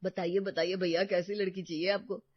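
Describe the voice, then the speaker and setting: A woman voicing a sensible, level-headed younger husband in an animated storybook. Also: lowish voice, a little fast, slightly rough, dry recording. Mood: teasing. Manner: reasoning, teasing at times. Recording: dull lo-fi dry recording.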